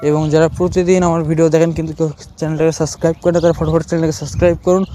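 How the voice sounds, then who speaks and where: A man hosts a comic cartoon channel in a somewhat high voice with a Bogura accent. He talks very quickly in an informal, bantering way. Noise is clearly audible on the recording. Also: little pitch movement, dark tone. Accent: Bogura, northern Bangladesh. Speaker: cartoon channel host playing the questioner.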